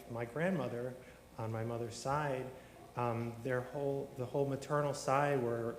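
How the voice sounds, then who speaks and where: A man's voice: mid-range voice, a little mellow, a little hiss or hum. A man telling his personal story at a service, rambling with many ums and restarts and slipping in wry jokes. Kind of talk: informal testimony at a service. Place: large synagogue sanctuary. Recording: echoey hall with long reverb.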